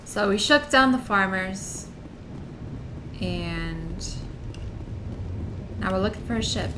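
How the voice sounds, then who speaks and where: A woman's voice: medium pitch, slow, background noise. A woman streamer giving casual, playfully dramatic commentary while playing a video game.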